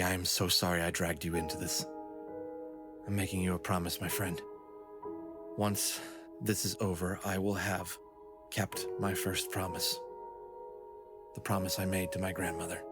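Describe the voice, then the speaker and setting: An earnest fox hero in a fedora acted by a man, loyal and sincere. His voice sits fairly low, with music playing clearly behind it in an audio drama.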